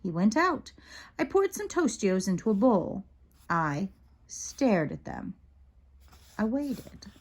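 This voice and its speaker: A woman reading a children's book aloud in an expressive storytelling style, acting out the dialogue as she goes. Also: medium pitch, slow, pauses often.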